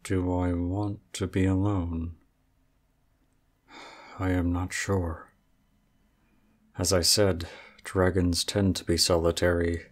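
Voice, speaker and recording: A man's voice: low-pitched, little pitch movement, slow pace, pauses often. A man playing a proud, aloof male dragon in human form, speaking formally and with cool reserve in an ASMR roleplay. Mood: reserved, reflective.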